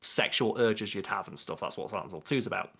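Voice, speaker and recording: A man's voice: fairly low pitch, fast talker, vocal fry, fairly bright tone, breathy edge. A young man, a podcast co-host, chatting casually and explaining in a rambling way from a bedroom on a video call. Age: young adult. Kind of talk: casual movie chat.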